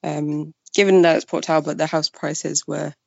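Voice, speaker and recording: A young woman from Wales with a creaky voice, chatting casually as an interview guest. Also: deep voice, fairly even intonation, moderate pace, darkish tone, dry recording, highs cut off. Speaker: podcast guest, freelance journalist.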